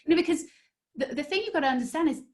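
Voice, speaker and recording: Woman guest, story strategist, animated chatty storyteller. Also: sits high, racing. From northeast England. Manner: animated storyteller, many fillers. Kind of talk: casual interview chat.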